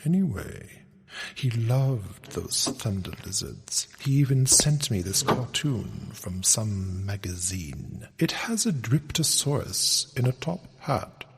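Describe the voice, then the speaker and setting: A man's voice: fairly low pitch, big pitch swings, takes it slowly, creaky, slight background noise, heavily acted. An actor playing a pompous, self-important male museum curator in a comedic audio drama, full of boastful condescension. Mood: matter-of-fact. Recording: reverberant hall.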